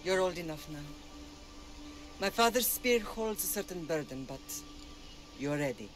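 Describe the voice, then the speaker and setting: Solemn warrior mom teaching her kid, slow talker, game cutscene w loud music. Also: lowish voice, expressive. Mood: firm, instructive. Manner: measured, solemn, instructive. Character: steadfast warrior mother teaching her child. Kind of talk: dramatic game cutscene acting. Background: prominent music.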